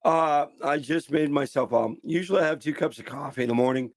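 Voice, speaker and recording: A man's voice: a little high, fairly quick, no room sound. A man co-hosting a podcast while sick with a dry throat, answering casually in short replies. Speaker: podcast co-host.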